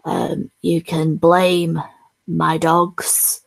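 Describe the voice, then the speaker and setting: Hoarse low-voiced woman, slow and croaky. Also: little pitch movement. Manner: halting, whispery asides, laughs often. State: hoarse, has lost her voice. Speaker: streamer hosting a supporter stream. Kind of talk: casual chat.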